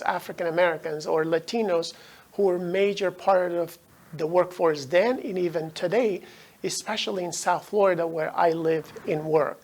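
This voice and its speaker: A middle-aged man from Afghanistan, a native Dari speaker, giving a measured, explanatory recorded lecture as a professor. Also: fairly high pitch, creaky.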